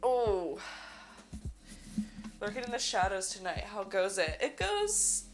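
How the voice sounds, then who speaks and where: A young woman live-streaming while she models in 3D, musing slowly and chatting with viewers, her voice going hoarse. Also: mid-range voice, very animated, over music. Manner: slow, musing, thinking aloud, greets chat. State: losing her voice.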